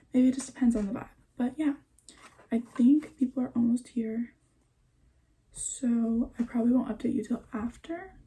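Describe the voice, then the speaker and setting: A woman's voice: a little high, gravelly, mellow, husky, low-quality recording. A young woman chatting casually at home in a book vlog, full of "like" and "um" fillers. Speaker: book vlogger. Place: at home in front of a bookshelf.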